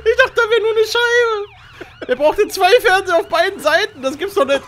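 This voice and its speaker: Young male let's player, playful banter, sharp high voice, game noise and sirens behind. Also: brisk. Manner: excitable, playful, switches into English phrases. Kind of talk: casual gaming banter during co-op play. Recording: noisy game audio with police sirens. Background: game sounds, sirens.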